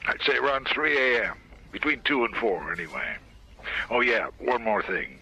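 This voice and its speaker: An actor playing a matter-of-fact, clinical police medical examiner in a radio drama. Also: male voice, medium pitch, animated, moderate pace, bright, forceful tone, breathy edge, heavily acted, rough recording. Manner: dry, clinical.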